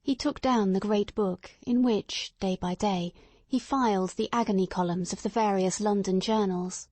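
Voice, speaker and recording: A woman narrating a classic detective audiobook in a measured, even way. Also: medium pitch, no echo.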